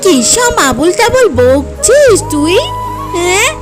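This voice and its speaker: A woman's voice: extremely high pitch, big pitch swings, takes it slowly, over loud music, heavily acted. A woman voicing an exasperated village mother in a children's cartoon, nagging and scolding. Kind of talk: children's cartoon story voice acting.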